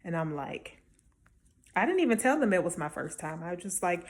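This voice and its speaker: A woman with a slightly low voice gives casual, motivational advice. She speaks in an encouraging, emphatic way, like someone coaching newcomers from her own experience. Her delivery is conversational, with small check-ins to the listener. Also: sing-song, a little fast, a little hiss or hum. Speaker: small-business vendor giving advice.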